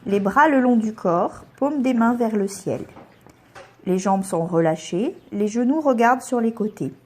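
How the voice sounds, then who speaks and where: A woman guiding a relaxation meditation as a yoga nidra instructor, giving calm, soothing, measured instructions with pauses between them. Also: fairly high pitch, slightly rough.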